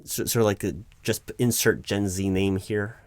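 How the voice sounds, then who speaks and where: A man playing a flustered, deferential recruiting manager in an interview roleplay, halting, stammering and awkwardly polite as he talks. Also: on the low side, steady tone, smooth, no echo, close to an everyday voice. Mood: puzzled, hesitant. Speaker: roleplay performer. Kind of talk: spoken audio roleplay, one-sided job interview.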